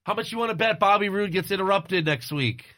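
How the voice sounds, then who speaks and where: A man's voice: sits high, brisk, pushed and bright, no echo. A man from the United States co-hosting a casual wrestling chat, blunt and argumentative, swearing and correcting his friend. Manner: argumentative, cursing, firm. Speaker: podcast co-host.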